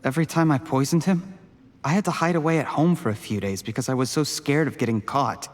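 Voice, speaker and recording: Game role male actor: timid young poisoner, mid-pitched, soft-spoken and deferential. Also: fairly quick, natural voice. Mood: nervous, meek. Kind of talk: video game voice acting.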